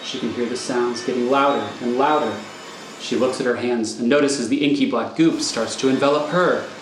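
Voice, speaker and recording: A man narrating scripted stage directions for a radio thriller in a measured, matter-of-fact manner. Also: medium pitch. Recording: echoey room, faint noise.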